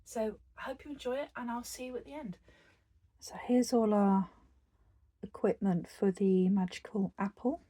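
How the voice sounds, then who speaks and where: A woman who is croaky after a virus, teaching an embroidery craft tutorial in a chatty, meandering way with many fillers and restarts. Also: medium pitch, steady tone, moderate pace, warm tone. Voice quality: husky.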